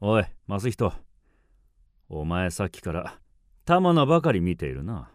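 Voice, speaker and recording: Brash, wildly animated samurai. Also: male voice, sits low, a little slow, dry recording, studio quality. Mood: sceptical, teasing. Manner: blunt, archaic warrior speech. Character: brash, blunt, sceptical male samurai companion. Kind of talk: radio drama acting.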